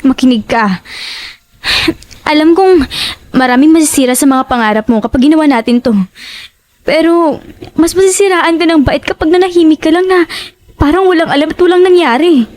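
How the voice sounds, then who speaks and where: A woman's voice: high voice, moderate pace, pauses often, darkish tone, no echo, natural voice. A woman playing an earnest, worried young friend with an imploring manner in a Filipino horror audio drama. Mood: grave, urging. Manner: urgent, imploring.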